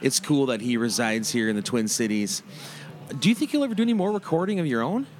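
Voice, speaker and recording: A man hosting a radio interview, speaking haltingly with plenty of "uh" and "like". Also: mid-range voice, animated, a little mellow. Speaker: radio show host. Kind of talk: casual interview questions.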